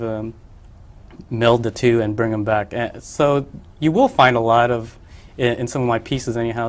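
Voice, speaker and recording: A young man from the Philippines, a painter being interviewed on a local cable show, who talks in a hesitant way full of fillers and restarts. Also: mid-range voice. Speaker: artist guest. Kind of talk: casual interview.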